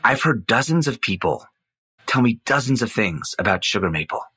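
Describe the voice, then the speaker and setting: An actor playing a fascinated investigative storyteller, narrating a documentary-style fiction podcast in a musing, deliberate way with dramatic pauses. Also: male voice, medium pitch, big pitch swings, pushed and bright, husky, exaggerated voice. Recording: guitar music under the voice. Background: plucked guitar music.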